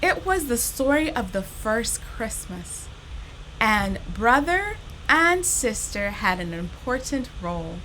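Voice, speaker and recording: A woman hosting a storytime, reading a children's story expressively and speaking directly to young listeners in an animated, unhurried way. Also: a little high, slight background noise, poor audio. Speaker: storytime host reading a children's picture book.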